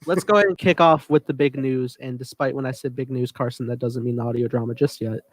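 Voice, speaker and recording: A man's voice: mid-range voice, steady tone, quick pace, no echo. A man hosting a fan podcast in a casual, conversational style. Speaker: podcast host steering the show.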